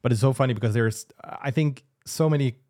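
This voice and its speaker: A man's voice: medium pitch, fairly quick, a little mellow, no room sound, clean studio sound. A man co-hosting a business podcast, making points with joking asides. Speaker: podcast co-host. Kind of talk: casual business chat.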